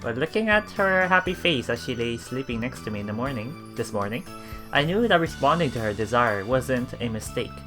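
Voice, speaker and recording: A man reading visual novel text aloud as a let's play host, with casual commentary and a halting delivery that repeats phrases. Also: medium pitch, very animated. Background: game music.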